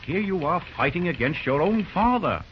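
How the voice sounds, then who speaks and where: A middle-aged businessman, pompous and patronizing, with hems and haws. His pitch swings widely, and the old radio recording is muffled and noisy. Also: very animated, moderate pace. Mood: scolding, persuasive. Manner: hemming, patronizing. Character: pompous older businessman father, shrewd and genial. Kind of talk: 1940s radio acting.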